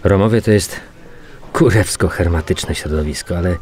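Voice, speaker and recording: An actor playing a matter-of-fact, weary male police investigator in a radio drama, muttering and repeating himself haltingly. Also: low-pitched, a little mellow, natural voice. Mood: wry, businesslike. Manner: halting, muttering repetitions while reading out reports. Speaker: actor in an audio crime drama. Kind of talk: naturalistic radio drama dialogue.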